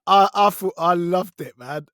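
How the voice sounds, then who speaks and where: A man with a British accent chatting casually as a podcast co-host, excitable and colloquial. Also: high voice, a little slow, no echo, studio quality. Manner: chatty, excitable, colloquial, often breaking into laughter. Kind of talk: casual chat, reviewing television.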